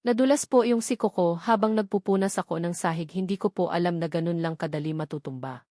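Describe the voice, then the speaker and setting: A woman's voice: fairly low pitch, fast talker, warm tone, no room sound, clean studio sound, lightly acted. A woman voicing an anxious young female janitor, defensive and defiant, in an audio love story. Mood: pleading. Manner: defensive, pleading.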